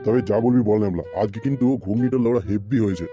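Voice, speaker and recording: Man playing a rapid-fire, hot-tempered gang boss. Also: fairly low pitch, mellow, muffled recording, soft background music, close to an everyday voice. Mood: casual, bossy. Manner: blustering, threatening, swearing. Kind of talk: amateur comedy cartoon dubbing.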